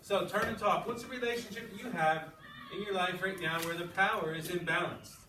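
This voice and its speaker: A man's voice: high voice, a little fast. A man teaching a class, thinking aloud and often checking in with "okay" and "right.". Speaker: English teacher. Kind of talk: classroom lesson. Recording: distant, echoey, noisy classroom recording.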